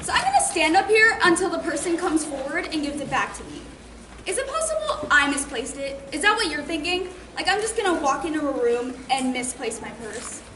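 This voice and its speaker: A woman's voice: high-pitched, sing-song, fairly quick. A young woman playing an indignant, sarcastic girl who menaces suspected thieves in a theatrical stage monologue. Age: teenager or young adult. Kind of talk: high school stage monologue. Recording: noisy, echoey theater stage recording.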